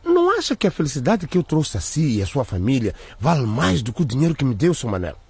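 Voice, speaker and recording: Radio drama schemer: obsequious, greedy father, husky, wildly swooping delivery, dry sound. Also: mid-range voice, wildly swooping pitch, quick pace, darkish tone, no room sound, heavily acted. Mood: wheedling. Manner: obsequious, pleading, hesitant. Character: wheedling, greedy father blackmailing a rich man.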